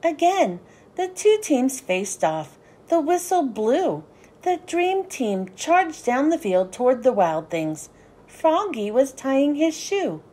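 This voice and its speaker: A woman narrates a children's storybook in a high voice that swoops up and down. Her delivery is animated and deliberate, with a soft, mellow tone and an unhurried pace suited to young listeners. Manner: animated, sing-song, deliberate phrasing. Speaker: children's book read-aloud host.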